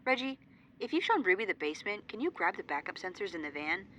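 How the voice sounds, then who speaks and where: A woman's voice: medium pitch, sing-song, fast talker, fairly bright tone, highs cut off, a little hiss or hum. A woman playing a young, technical paranormal investigator in an audio roleplay, speaking in a businesslike, matter-of-fact way. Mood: focused.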